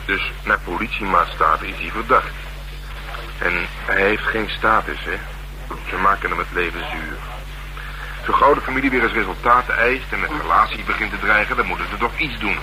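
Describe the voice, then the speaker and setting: An actor playing a gruff, streetwise police detective in a Dutch radio drama, talking in a dry, wry and slangy way. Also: male voice, on the low side, moderate pace, natural voice. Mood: insistent. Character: male police detective, gruff, streetwise, worldly, asking a favour. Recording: noisy radio drama recording.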